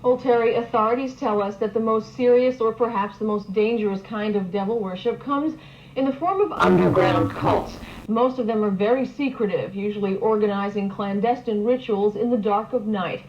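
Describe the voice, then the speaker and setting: A woman's voice: medium pitch, moderate pace, darkish tone. A woman delivering a television news report as a correspondent. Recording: dull old TV broadcast, faint noise.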